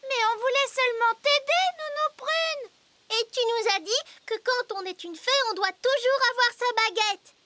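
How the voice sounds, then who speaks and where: A woman's voice: very high voice, normal speed, sharp tone, slight background noise. A woman voicing an eager, polite little fairy princess in a cartoon, with a childlike, earnest way of talking. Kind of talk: French-language cartoon dubbing.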